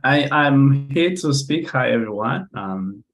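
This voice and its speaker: Young Ethiopian-born male academic on a video call, slow and halting, full of fillers and restarts. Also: medium pitch, expressive. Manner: hesitant, many fillers and restarts. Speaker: conference speaker. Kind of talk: academic presentation.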